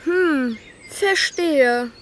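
A woman's voice: high voice, very slow, a little hiss or hum. A woman voicing a cheerful, helpful little train in a children's audio play, sounding child-like, polite and eager. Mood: friendly, helpful.

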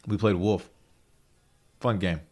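A man's voice: sits low, slow pace. A man hosting a casual podcast, telling stories with eager, jokey energy. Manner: animated storyteller, eager and jokey. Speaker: podcast host.

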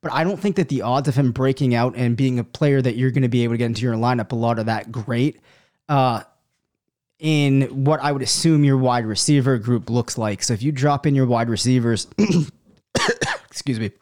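A man with a cold, a little hoarse, hosting a sports podcast alone and thinking out loud through listener questions, with many ums and hedges. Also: medium pitch, normal speed. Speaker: fantasy football podcast host. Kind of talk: live solo Q&A, answering listener questions.

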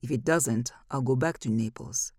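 A woman's voice: very deep voice, normal speed, dark tone, dry recording, clean studio sound. A woman voicing a dejected man parting from his dear friends in an audiobook, sad and plain-spoken. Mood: sad, resolved. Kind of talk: audiobook character dialogue.

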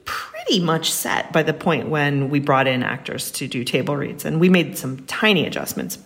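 A woman's voice: sits low, big pitch swings, moderate pace, fairly bright tone. A woman writer talking as an interview guest, discursive and enthusiastic in casual conversation.

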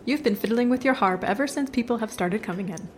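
A woman playing a bright, knowing, teasing cousin in an audio drama. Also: a little high, quick pace, soft background music, lightly acted. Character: bright, teasing female cousin hiding nerves. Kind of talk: acted fan fiction audio drama.

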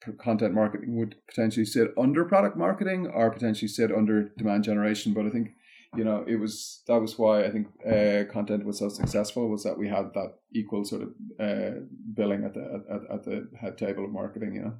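A man with an Irish accent explaining things in a casual interview, in a discursive, halting way with plenty of "like" and "you know". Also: lowish voice, fairly even intonation, creaky, warm tone. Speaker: interview guest, content marketing consultant.

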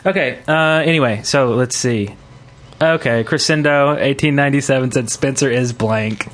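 A man's voice: medium pitch, moderate pace. A man hosting a gaming podcast in a casual, chatty style. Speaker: podcast host.

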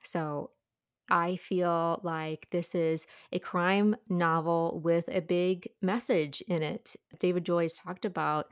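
A woman with a fairly low voice gives her take as a book reviewer in a relaxed podcast conversation. The recording is very dry, with no room sound around her. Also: slow, smooth, no echo.

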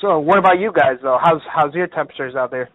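A man's voice: a little high, fast. A man calling into a hunting radio show, chatting casually and laughing often. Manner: laid-back, laughs often, says "man" a lot. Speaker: caller. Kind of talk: casual call-in chat. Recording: tinny phone line, dry.

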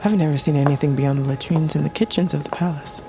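A woman playing a female bird-folk assassin who poses as a meek, hesitant lowly scavenger in an audio drama, often hushed. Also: fairly even intonation, fast talker, rough recording. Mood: hushed awe. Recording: music behind the voice. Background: music.

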